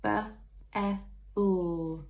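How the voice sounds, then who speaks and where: A woman's voice: on the low side, steady tone, extremely slow, highs cut off. A young woman, a primary school teacher, leading a read-along phonics lesson for small children, sounding out words letter by letter and putting questions to them.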